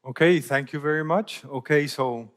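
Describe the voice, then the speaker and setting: Man, higher-pitched. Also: a bit bright. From Colombia. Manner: halting, often repeats words while searching for phrasing. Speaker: hydrologist presenting research. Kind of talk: conference presentation.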